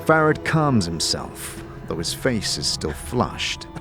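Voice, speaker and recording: A man narrating a dark fantasy audio drama in a solemn, measured, dramatic way. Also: lowish voice, big pitch swings. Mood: ominous. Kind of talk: scripted second-person audio drama narration. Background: music.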